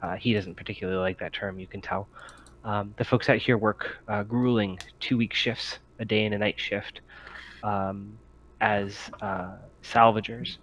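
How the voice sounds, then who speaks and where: A man narrating a tabletop game as game master, in a halting, hesitant way with many pauses. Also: fairly low pitch, steady tone, a bit bright.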